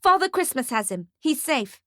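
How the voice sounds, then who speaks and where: A woman's voice: high voice, big pitch swings, normal speed, a bit bright, no echo, clean studio sound, pitched above the performer's own voice. Woman acting a pushy, emphatic male photographer. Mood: urgent.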